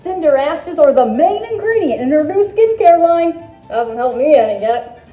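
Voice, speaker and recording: Woman playing a high-voiced, sly hungry wolf posing as granny. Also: sing-song, normal speed, mellow, dull audio. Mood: wry. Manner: theatrical, wry, droll. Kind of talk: scripted fashion show commentary, fairy tale skit. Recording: roomy echo, music behind.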